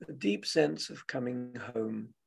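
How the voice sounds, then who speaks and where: A man with a husky, gravelly voice leading a guided meditation as a teacher, speaking gently and unscripted in short phrases with long pauses. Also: mid-range voice, expressive.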